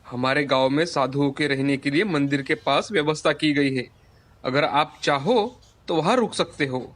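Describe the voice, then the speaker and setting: A man's voice: on the high side, normal speed, fairly bright tone, close to an everyday voice. A man playing a respectful, gossipy villager in a cartoon moral story, politely giving advice. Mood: helpful, gossipy. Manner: polite, advising.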